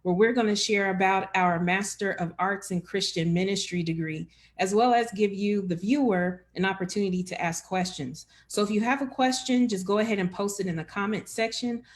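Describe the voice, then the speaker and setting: A woman's voice: medium pitch, normal speed, few pauses. A woman hosting a live-streamed information session as enrollment director, friendly and chatty with many fillers.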